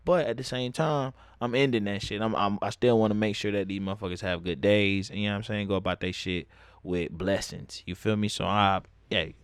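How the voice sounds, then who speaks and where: A man's voice: on the low side, steady tone, brisk, smooth, no echo. A young man from Atlanta with a Southern accent, telling a loose, profane story in casual talk and laughing often. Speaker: vlogger.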